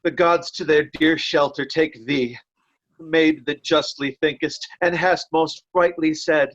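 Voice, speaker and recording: A woman's voice: sits low, steady tone, unhurried, muffled recording. A woman playing a loyal, blunt, defiant nobleman in earnest, declamatory Shakespearean verse. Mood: resigned farewell. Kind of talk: Shakespeare reader's theatre acting.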